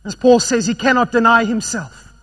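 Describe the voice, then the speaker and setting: A man preaching a sermon, swinging between loud emphatic passages and quiet, low ones. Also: normal speed.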